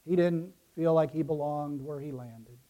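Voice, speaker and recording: A man raised in Ecuador by American parents preaches as a pastor, speaking deliberately in emphatic phrases that trail off softly. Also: a little high. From Ecuador, raised by American missionary parents. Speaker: pastor preaching to his congregation. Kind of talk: sermon.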